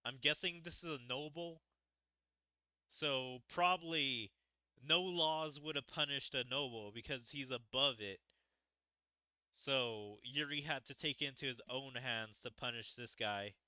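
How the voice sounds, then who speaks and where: A man's voice: mid-range voice, measured pace, bright, forceful tone, clean tone, dry recording. A man streaming a story game, reading its dialogue aloud theatrically and musing on it in asides.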